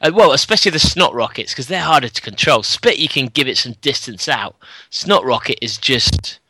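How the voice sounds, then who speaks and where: A man's voice: mid-range voice, lively intonation, moderate pace, sharp tone, slightly rough, no echo. A man co-hosting a podcast, frankly explaining things and laughing easily. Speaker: podcast co-host. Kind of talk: casual chat.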